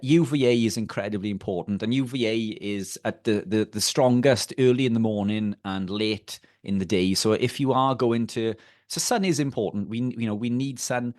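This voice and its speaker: Low-voiced Welsh man explaining. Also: moderate pace, darkish tone, no room sound, clean studio sound. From Wales. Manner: hesitant, many fillers and restarts. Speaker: guest. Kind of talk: casual explanatory chat.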